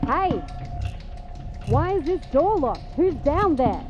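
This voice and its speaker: A woman playing a gruff, suspicious intruder in an audio drama. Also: high-pitched, big pitch swings, slow, muffled recording, music in the background, full character voice. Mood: alarmed, demanding.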